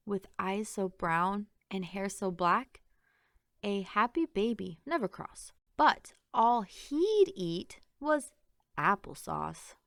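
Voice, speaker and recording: A woman reading a rhyming children's story aloud in an expressive, sing-song way. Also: medium pitch, no echo, studio quality. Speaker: picture book reader.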